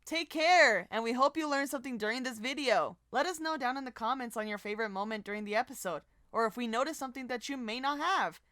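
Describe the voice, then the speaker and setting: A woman's voice: fairly high pitch, quick pace, few pauses, a bit bright, no room sound, studio quality. A woman co-hosting a video, reading scripted commentary with casual asides over background music. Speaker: video co-host. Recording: music playing behind the voice. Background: music bed.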